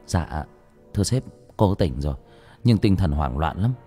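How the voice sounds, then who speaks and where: Guy doing a quiet respectful underling, low voice, faint music. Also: animated, a little slow, exaggerated voice. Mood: deferential. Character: deferential male subordinate reporting on the phone.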